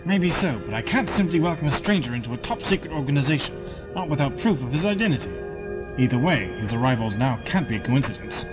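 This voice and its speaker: A man's voice: mid-range voice, lively intonation, quick pace, lightly acted. A man playing a stern, suspicious army colonel in an audio drama, clipped and commanding. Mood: skeptical. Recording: dull lo-fi with loud music behind.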